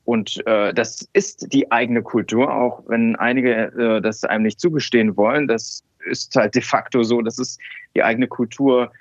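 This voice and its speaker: A man's voice: medium pitch, fairly even intonation, normal speed, no echo, muffled recording. A man from Cologne, a scientist, telling stories in an interview with many fillers and restarts. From Cologne, Germany. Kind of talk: relaxed conversational interview.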